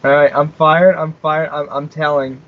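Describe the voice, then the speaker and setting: Young man, radio host, slightly high voice, joking and rambling, stumbles over words, muffled lo-fi sound. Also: level tone, normal speed. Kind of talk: casual on-air chat. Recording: muffled lo-fi stream recording.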